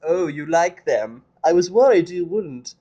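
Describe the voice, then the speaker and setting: A man's voice: fairly high pitch, lively intonation, dark tone, lo-fi sound, pitched above the performer's own voice. A man voicing a refined, theatrical unicorn mare dressmaker who gushes and draws out her words in a storybook reading. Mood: delighted. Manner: theatrical, gushing, drawn-out. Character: posh refined unicorn mare fashion designer.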